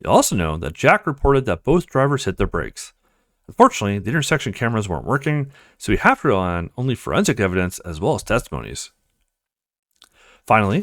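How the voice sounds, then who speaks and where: Guy doing a visual novel lets play, lively up and down delivery, reading lines out, dry close sound. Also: mid-range voice, dry recording. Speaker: let's play host. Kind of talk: visual novel let's play, reading dialogue aloud and commenting.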